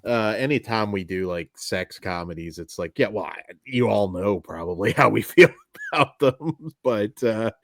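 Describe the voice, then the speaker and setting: Lively, low-voiced man co-hosting a film review. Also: moderate pace, no room sound, studio quality. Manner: animated, self-deprecating, joking. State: voice going tired after long recording. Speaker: podcast co-host. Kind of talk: casual film review chat.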